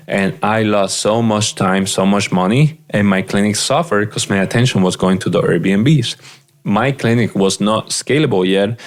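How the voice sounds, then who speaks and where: A man giving earnest, direct business advice as a practice coach, often repeating his points. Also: lowish voice. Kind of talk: advice monologue.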